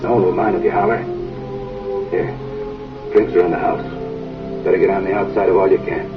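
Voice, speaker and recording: An actor playing a stern, suspicious frontier sheriff who gives curt, gruff orders, heard on muffled old film audio. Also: male voice, deep voice, steady tone, brisk, distant mic, over music, close to an everyday voice.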